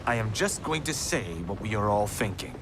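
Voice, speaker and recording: A man as a cocky, animated young warrior monk. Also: fairly low pitch, normal speed, noisy background, close to an everyday voice. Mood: defiant. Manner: wry, exasperated. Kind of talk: video game cutscene acting.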